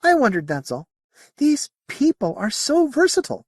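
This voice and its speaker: Young male midshipman male narrator, informal, wry, talkative, higher animated delivery, science fiction storybook. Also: moderate pace, husky, dry recording, studio quality, heavily acted. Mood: admiring.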